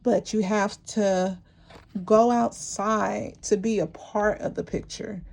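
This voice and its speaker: A woman gives an intuitive tarot reading straight to her viewers, rambling and encouraging, often restarting her sentences. Her voice is mellow, dark and gravelly, and it is wearing hoarse, with frequent throat clearing. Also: medium pitch, fairly even intonation, slow. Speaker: tarot reader.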